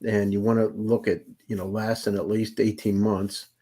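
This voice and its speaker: A man's voice: on the low side, flat delivery, moderate pace, dark tone, breathy edge. A man on an investor panel pressing a founder with blunt, direct questions about money and plans. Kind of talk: probing business questions.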